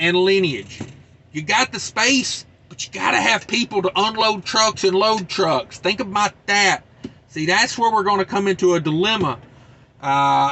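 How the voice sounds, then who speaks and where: A man with a high, bright voice hosts a casual trucking show. He reads news aloud and breaks in with folksy wisecracks and asides, loose and chatty throughout. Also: slight background noise. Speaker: trucker channel host.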